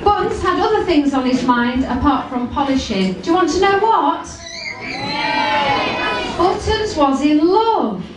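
A woman's voice: high voice, lively intonation, measured pace. A woman playing a playful pantomime storyteller, addressing the audience in theatrical announcements. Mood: teasing. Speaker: pantomime narrator. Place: large hall, live stage show. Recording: distant, very echoey hall with audience noise.

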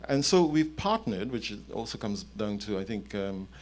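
A man's voice: on the low side, big pitch swings, normal speed. Middle-aged man explaining on a panel. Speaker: panelist, company chief executive. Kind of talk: panel discussion talk, explaining. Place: indoor conference event on a stage. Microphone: handheld microphone.

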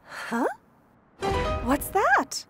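A woman playing a caring young female mechanic with an expressive, kindly manner in a children's animated series. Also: high voice, extremely slow, over music, full character voice. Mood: worried.

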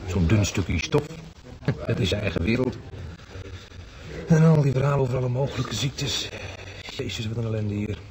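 A man's voice: on the low side, lightly acted, poor audio. An actor playing a blunt, teasing married man who is a hospital patient, in a naturalistic radio drama.

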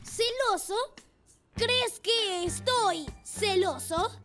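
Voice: very high voice, drawn-out words, exaggerated voice. An actor voicing a boastful, brave young boy motorcycle in a children's cartoon, eager and emphatic. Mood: indignant. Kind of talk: animated children's cartoon dubbing. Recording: loud children's music behind the voice.

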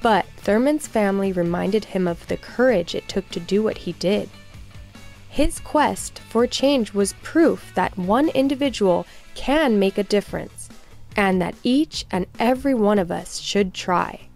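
A woman reading a children's storybook aloud in scripted narration, expressive and clearly phrased for young listeners. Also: mid-range voice, unhurried, soft background music.

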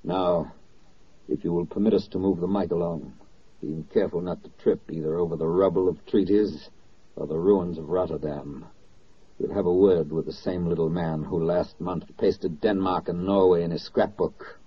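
An actor as a grave, sardonic narrator of a wartime 1940s radio drama, declaiming in a rhetorical style. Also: male voice, sits low, fairly even intonation, moderate pace, husky. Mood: grim, ironic. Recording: dull 1940s radio record with music.

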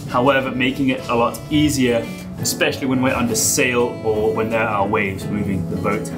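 A young man casually explaining boat restoration work step by step as he works in a boatyard and a wooden wheelhouse. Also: on the high side. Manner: relaxed, explains step by step while working. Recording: guitar music behind.

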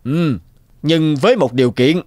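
A man's voice: a little high, a little slow, darkish tone, dry recording, clean studio sound, lightly acted. A man voicing a genial but shrewd stout merchant in a cartoon. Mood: bargaining.

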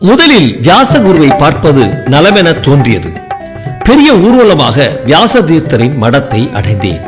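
Male narrator, dramatic first-person storytelling, animated, with clear music under. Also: fairly high pitch, unhurried.

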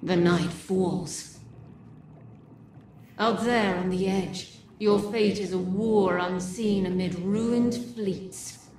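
An actress voicing a calm, cryptic hooded female emissary who speaks slowly and refers to herself as "we". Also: medium pitch, a little hiss or hum. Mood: prophetic. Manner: slow, measured, speaks as "we". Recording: echoey, distant, with loud music.

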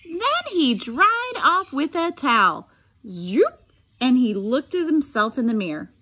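A woman's voice: high voice, sing-song, takes it slowly, bright, forceful tone, slightly rough. A middle-aged woman reading a picture book aloud expressively, with instructive asides spoken directly to students. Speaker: special education teacher reading aloud.

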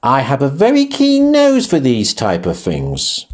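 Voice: mid-range voice, huge pitch swings, a little slow, darkish tone. Grumpy grizzly bear, voiced by an actor. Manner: grumbling, sarcastic.

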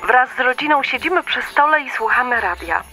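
An actress playing a kindly, helpful listener phoning a radio show in a Christmas play, earnest and modest. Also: female voice, high voice, animated, brisk, bright, forceful tone, faint music behind, heavily acted.

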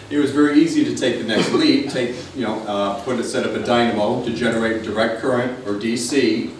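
A man's voice: fairly low pitch, slight background noise. A man in his thirties from Connecticut giving a casual, digressive history talk to an audience in a library meeting room. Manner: chatty, digressive, self-deprecating with many fillers. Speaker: local history lecturer. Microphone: far camera, no mic seen. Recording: roomy echo, distant from the camera.

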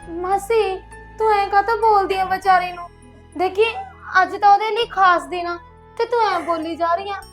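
Young woman doing a soft caring cousin, very high voice, pleads a lot, faint music. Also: normal speed. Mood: pleading. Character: gentle young woman cousin comforting the bride.

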